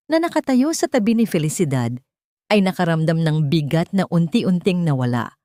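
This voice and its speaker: A woman narrates a dramatic audiobook in a lowish voice. Her intonation swings expressively while her delivery stays measured and solemn. The recording is dry and close, with no room sound. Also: warm tone, no room sound. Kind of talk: scripted dramatic story narration.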